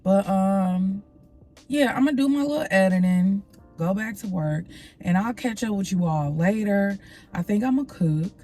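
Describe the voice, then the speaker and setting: A woman's voice: mid-range voice, moderate pace, warm tone, soft background music. A young woman with a slightly nasal voice, rambling casually to her vlog viewers and calling them y'all. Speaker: vlogger. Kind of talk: casual vlog chat to camera.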